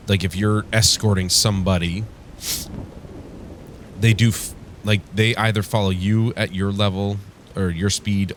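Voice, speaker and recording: A man hosting a casual gameplay commentary, rambling and wryly griping, his voice congested and strained from yelling. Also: deep voice. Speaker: gaming show host. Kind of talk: casual let's play commentary. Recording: clear background noise.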